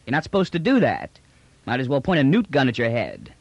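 A man's voice: medium pitch, wildly swooping pitch, a little fast, vocal fry, no echo, muffled recording, exaggerated voice. A man voicing a brash, unruffled male space trader with a cheeky, slangy, colloquial manner in an audiobook. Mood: indignant. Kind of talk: audiobook character dialogue.

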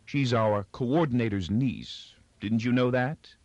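A man's voice: mid-range voice, takes it slowly, darkish tone, dry recording, dull audio, voice pushed up. A man voicing a cynical, sophisticated young female factory worker in a storybook, languid and scornful. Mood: disdainful.

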